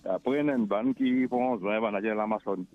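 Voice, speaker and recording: A man's voice: on the low side, measured pace. Mauritian man on a tinny phone line. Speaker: phone-in caller, stone mason. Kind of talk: casual, halting explanation. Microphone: telephone.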